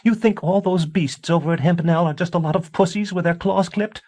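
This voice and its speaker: A man reading an audiobook, voicing a frantic young wife who secretly practices witchcraft, shrill as she pleads and accuses. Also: normal speed, a little mellow. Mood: shrill, accusing.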